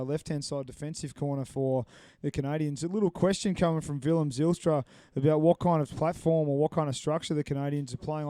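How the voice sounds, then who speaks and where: Upbeat male commentator, smooth and dry. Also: on the high side, dark tone, dry recording. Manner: upbeat, jokey, filler-heavy analysis. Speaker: sports commentator. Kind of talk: live play-by-play commentary.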